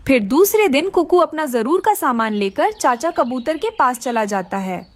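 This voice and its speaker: A woman's voice: sits high, very animated, normal speed, no room sound. A woman narrating a scripted children's story.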